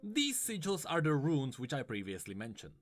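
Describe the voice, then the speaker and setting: A man's voice: fairly high pitch, huge pitch swings, normal speed. A man giving scripted explanatory commentary in the style of a video narrator. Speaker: lore explainer.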